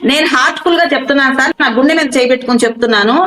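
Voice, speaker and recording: A female caller with a high voice, heard with a roomy echo. She speaks quickly and earnestly, in a deferential, pleading way, often adding sir. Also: fairly bright tone. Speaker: guest caller on a live show. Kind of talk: earnest opinion on a live call-in.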